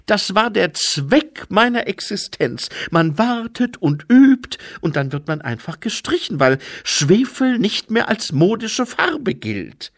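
A put-out, flustered angel as a male narrator plays him in a fantasy audiobook. The voice sits high and swings about plaintively, whining and hesitant, with a pedantic streak. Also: very animated, slow pace, vocal fry, hardly any pauses, exaggerated voice. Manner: whiny, hesitant.

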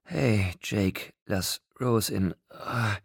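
Man voicing a frail, tender young woman, pitched up. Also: lively intonation, normal speed, dry recording, studio quality. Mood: pleading. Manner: breathy, strained, tender. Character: frail, gentle young pregnant woman, tender despite pain.

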